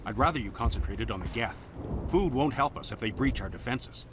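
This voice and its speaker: A man's voice: mid-range voice, expressive, fairly quick, background noise, lightly acted. A man playing a grim, practical colony hunter in a video game, blunt and pessimistic, with music behind. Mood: grim, worried. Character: grim, practical male colony hunter worried about food. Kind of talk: video game dialogue acting.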